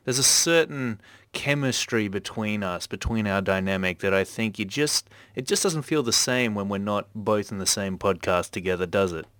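A man hosting a podcast, talking at length in a relaxed, reflective way with many fillers. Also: fairly low pitch, moderate pace, dry recording. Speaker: podcast host. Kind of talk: casual podcast chat.

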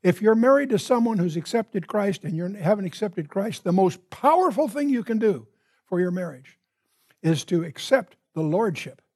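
An older American man with a husky voice teaching a Bible study in a deliberate, emphatic manner, phrase by phrase. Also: high-pitched, animated, a little mellow. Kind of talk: Bible study lecture opened with prayer.

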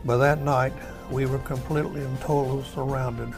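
A man's voice: medium pitch, little pitch movement, moderate pace. An elderly American man, a war veteran, recounting his memories in a plain, folksy, matter-of-fact way. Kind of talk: oral history recollection. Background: music.

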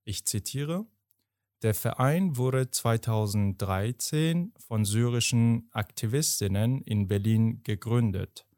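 Podcast co-host, male, slow and measured, a bit low, dry room. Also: a little mellow, no echo. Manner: measured and deliberate, stretching words. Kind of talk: podcast conversation, partly reading a quote aloud.

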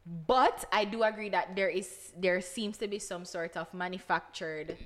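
A woman's voice: fairly low pitch, measured pace. A woman co-hosting a podcast, giving firm opinions in casual chat and often talking over the others. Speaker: podcast co-host.

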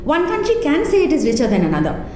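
Interview guest: woman from India, English not her first language, emphatic and lively, warm low timbre, heavy room echo. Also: quick pace. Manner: animated and emphatic, thinking aloud with restarts. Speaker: interview guest, arts and culture figure. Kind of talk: conversational interview.

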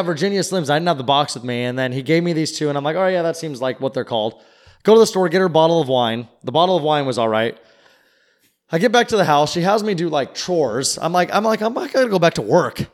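A man casually telling a long, rambling story on a podcast and swearing freely. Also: a little high. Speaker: podcast co-host. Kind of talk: casual chat.

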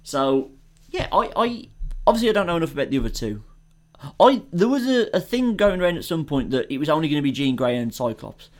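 A man's voice: a little high, very animated, brisk. A man, co-hosting a podcast and chatting casually about films with strong, eager opinions. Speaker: podcast co-host. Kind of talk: casual film chat.

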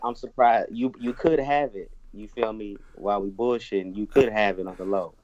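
A man's voice: lowish voice, fairly even intonation, normal speed, darkish tone. A man who has been drinking, a guest musician chatting loosely on a podcast, stumbling and repeating words. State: tipsy from drinking. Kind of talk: casual podcast chat. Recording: dry, dull lo-fi sound.

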